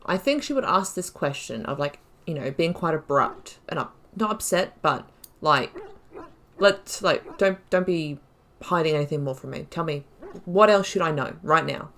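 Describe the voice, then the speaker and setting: A woman's voice: low-pitched, normal speed, a bit bright, slight background noise. A woman hosting a playthrough, thinking aloud in casual, chatty and reflective gameplay commentary. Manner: chatty, reflective, often laughing at own mistakes.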